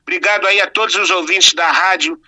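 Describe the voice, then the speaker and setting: Earnest Brazilian man, bright and high. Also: fairly even intonation, a little fast, recorded from a distance. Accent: Brazilian Portuguese. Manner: earnest, reflective, affectionate sign-off. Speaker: guest actor and playwright. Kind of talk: radio interview chat.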